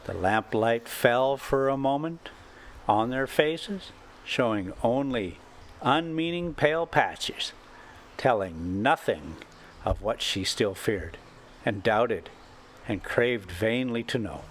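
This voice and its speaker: An older man reading a classic short story aloud as an amateur storyteller, in halting, broken phrases. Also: medium pitch, expressive, a little hiss or hum. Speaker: storyteller and channel host.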